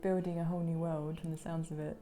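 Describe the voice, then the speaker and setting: A woman with a croaky voice giving a gentle pick-a-card tarot reading, slipping into soft whispers now and then. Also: low-pitched, little pitch movement, fast, soft background music. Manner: gentle, soothing, drops into whispers.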